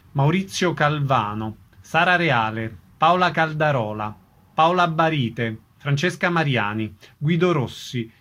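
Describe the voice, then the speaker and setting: Guy reading scripted radio show intro and credits, voice a bit on the high side. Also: fairly bright tone.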